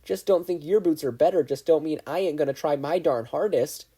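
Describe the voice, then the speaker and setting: A performer voicing a bubbly, determined teenage girl who is excitable and eager. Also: medium pitch, a little fast, slightly rough, pitched above the performer's own voice, lightly acted. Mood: fired-up.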